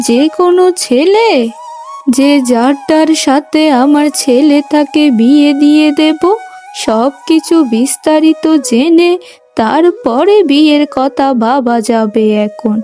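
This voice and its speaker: A woman's voice: sits high, dark tone. A woman playing a proud, status-minded mother of a landlord family in a melodramatic cartoon, emphatic in her delivery. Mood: eager, curious. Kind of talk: melodramatic cartoon story dubbing. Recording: music under the voice.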